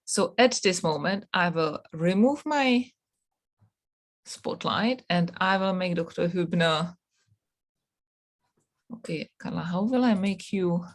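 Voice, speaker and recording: Woman hosting a video call, talks real slow, lowish voice, thinks out loud. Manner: unhurried, thinking aloud. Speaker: meeting host. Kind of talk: running an online meeting.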